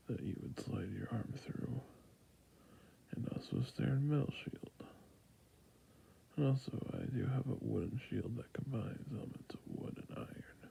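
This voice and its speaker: A man playing a friendly, patient fantasy shopkeeper in an ASMR roleplay, speaking in a soft, breathy near-whisper with creaky endings and a gentle sales manner. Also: mid-range voice, very animated, unhurried, exaggerated voice, low-quality recording. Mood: soft, helpful.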